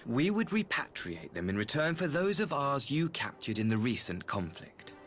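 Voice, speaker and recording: An actor playing a courteous, calculating imperial ambassador in a video game, speaking with formal, eloquent, theatrical archaic diction. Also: male voice, mid-range voice, very animated, moderate pace, fairly bright tone. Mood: measured, diplomatic. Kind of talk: scripted video game voice acting. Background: background music.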